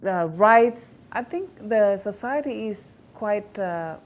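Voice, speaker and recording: A woman from Indonesia with an Indonesian accent, answering interview questions in a halting way with many fillers and restarts. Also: medium pitch, expressive. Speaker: interview guest, institute director. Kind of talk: recorded news interview.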